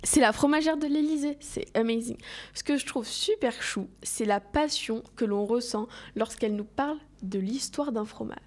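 A young woman in her twenties or so with a slightly high voice reads her column in a chatty, bubbly way. She laughs easily and drops English words into her talk. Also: moderate pace. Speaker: radio show columnist. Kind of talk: lively studio chat.

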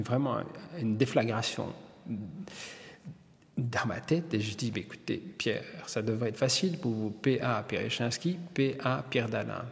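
Gravelly older man telling a story. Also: mid-range voice, in a large hall. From Belgium. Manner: halting, restarts, repeated words. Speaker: hairdresser and publisher. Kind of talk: relaxed interview storytelling.

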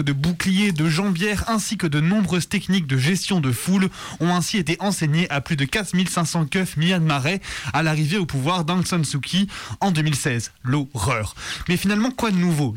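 Guy, kinda high voice, ironic slangy militant radio commentary, very dry sound. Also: dry recording. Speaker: radio show presenter. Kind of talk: scripted news commentary with colloquial asides.

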